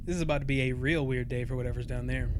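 A man's voice: medium pitch, fairly even intonation, racing, close to an everyday voice. A man playing a cocky, flippant spaceship pilot with a wry manner in a science fiction audio drama. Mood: cocky, joking. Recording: loud vehicle noise behind.

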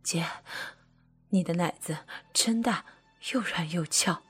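A woman doing a breathy, whispering young man. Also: slow, soft background music, heavily acted. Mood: hushed, eager. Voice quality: breathy, hushed. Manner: low murmuring, half-whispered. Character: young man, eager and insistent younger brother.

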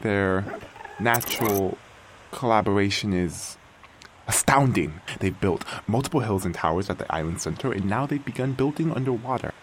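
Man acting an expressive, awestruck machine parent. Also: fairly low pitch, a little hiss or hum, close to an everyday voice. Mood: proud, halting. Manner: theatrical, wonder-filled, musing, halting. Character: aging artificial intelligence, doting, awestruck creator-parent of new creatures. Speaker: narrator and lead character. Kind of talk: dramatic first-person audio fiction monologue.

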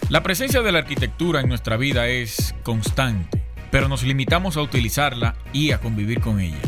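A man reading a scripted informational radio segment in a measured way. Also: medium pitch, lively intonation. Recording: voice over music bed.

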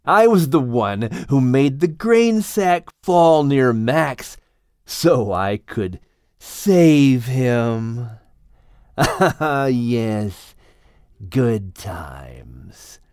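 A menacing king cobra in an audiobook tale. The delivery is extremely slow and drawn out, swooping up and down as it gloats and taunts. The sound is dry and close. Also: medium pitch, sing-song, a little mellow, clean tone, no echo, heavily acted. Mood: smug, boastful. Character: menacing, boastful male king cobra villain. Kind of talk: dramatized children's audiobook acting.

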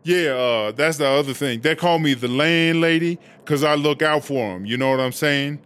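A man's voice: on the high side, moderate pace, a bit bright, a little hiss or hum, lightly acted. A man playing a brash, vain female inmate who bosses a cell block with sassy, slangy talk in a comedy audio drama. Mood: bossy.

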